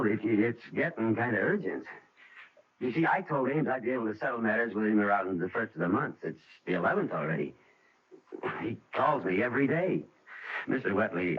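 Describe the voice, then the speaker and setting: An actor playing a bitter, harried working man, blunt and halting under pressure, in vintage radio drama style. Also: medium pitch, slightly rough. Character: bitter, harried adult son, a struggling service station owner. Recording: muffled old radio drama recording.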